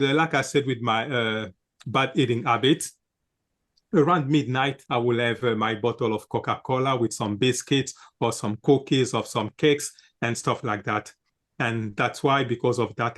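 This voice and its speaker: A man being interviewed as a wellness coach, answering earnestly in measured phrases broken up by many hesitations. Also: mid-range voice, a bit bright. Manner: earnest, frequent "uh" fillers, measured.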